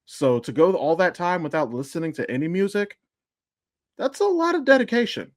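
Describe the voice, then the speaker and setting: A man's voice: high voice, very animated, normal speed, no echo. Man chatting on a podcast, dry sound. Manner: blunt, sweary, addresses listeners directly. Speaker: podcast host. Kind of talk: casual, opinionated podcast chat.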